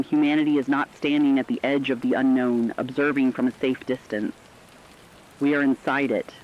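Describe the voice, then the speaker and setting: A man's voice: medium pitch, close to an everyday voice. Dull-sounding, earnest radio correspondent played by a man. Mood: awed, grave. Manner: formal, measured, earnest. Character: earnest, thoughtful emergency radio correspondent reporting on an apocalypse. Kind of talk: scripted dramatic broadcast narration.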